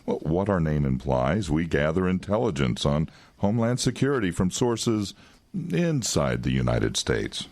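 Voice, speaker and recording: An actor playing a wry, quietly menacing intelligence agent in an audio drama, talking in an insinuating, condescending way. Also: male voice, deep voice, very animated, a little slow, full character voice. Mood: smooth, needling. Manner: insinuating, condescending, with chuckles and hesitations.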